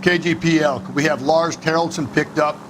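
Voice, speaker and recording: A man playing a brisk, businesslike American police detective partner in a video game. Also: on the high side, steady tone, close to an everyday voice. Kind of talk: video game drama acting. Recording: car engine and street noise.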